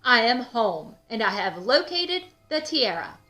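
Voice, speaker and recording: A woman's voice: fairly high pitch, big pitch swings, measured pace, sharp tone, voice pushed up, full character voice. A woman voicing an eager, affectionate, cajoling husband in a children's storybook, with jolly emphasis. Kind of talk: storybook character voice. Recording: music under the voice.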